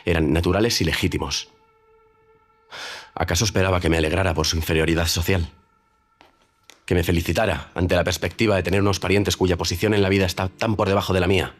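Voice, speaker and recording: An actor playing a haughty, proud gentleman who speaks in a cold, formal and clipped way, with soft music under him. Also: sits low, steady tone, quick pace, long pauses. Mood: offended. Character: haughty proud gentleman making a stiff proposal. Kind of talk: audio drama acting.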